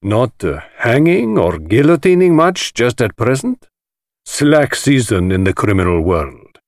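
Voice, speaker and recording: A man's voice: medium pitch, very animated, a little slow, no room sound, clean studio sound. A man voicing a flamboyant, spiteful foreign society host in an audiobook, with an affected, mockingly purring drawl. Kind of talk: audiobook character voice.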